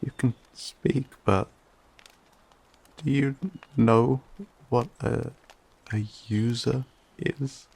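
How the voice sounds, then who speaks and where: A performer voicing a shaken, emotional fantasy companion in an audio roleplay, speaking haltingly with stammers and whispers. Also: medium pitch, fairly even intonation, vocal fry, darkish tone, slightly rough, full character voice. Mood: flustered, apologetic. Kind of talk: dramatic audio roleplay addressed to listener.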